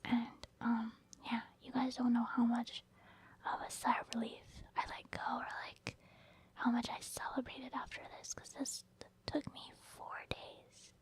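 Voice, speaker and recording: A woman giving casual, rambling ASMR commentary on her video game play in a breathy whisper, explaining her thought process. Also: fairly high pitch, completely flat delivery, measured pace. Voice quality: breathy, mostly unvoiced whisper. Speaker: gaming ASMR creator.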